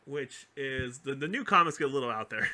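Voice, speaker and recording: A man chatting casually as a podcast co-host, explaining things in an animated, rambling way. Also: medium pitch, normal speed. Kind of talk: casual chat, explaining fan theories about a TV franchise.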